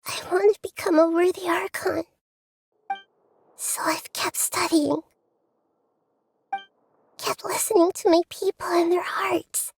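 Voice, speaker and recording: A woman voicing a gentle, childlike young goddess of wisdom in a video game, soft, hesitant and contemplative. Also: extremely high pitch, steady tone, slow pace, vocal fry, pauses often, a little hiss or hum, exaggerated voice. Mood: solemn, wistful. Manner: soft, hesitant, contemplative, often near whispering. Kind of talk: video game cutscene acting.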